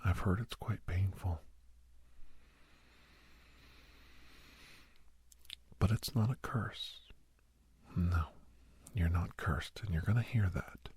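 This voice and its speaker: A man with an American accent playing a kindly, gentle doctor who counsels a young werewolf in a breathy, whispered ASMR roleplay. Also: deep voice, heavily acted. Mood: sympathetic, reassuring. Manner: gentle, reflective, slow and halting.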